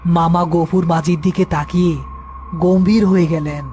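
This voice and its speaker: A man's voice: a little high, steady tone, normal speed, dark tone, soft background music. A man narrating a scripted horror folk tale as storyteller.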